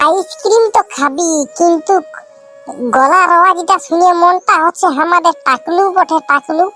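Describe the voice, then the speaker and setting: Super high squeaky cartoon scrounger, purulia village accent, cheeky n whiny begging, faint music behind. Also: male voice, animated, moderate pace, pushed and bright, full character voice. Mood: nagging. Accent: rural Purulia, West Bengal. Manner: pleading, nagging, cheeky. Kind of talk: comedy cartoon dialogue.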